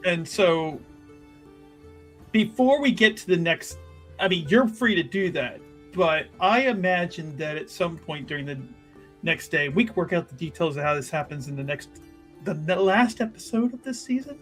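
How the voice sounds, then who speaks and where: Male tabletop player, high voice, halting improvised narration with repeated phrases, soft music behind. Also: animated. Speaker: player in a tabletop roleplaying game. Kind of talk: improvised narration of a character's actions. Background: background music.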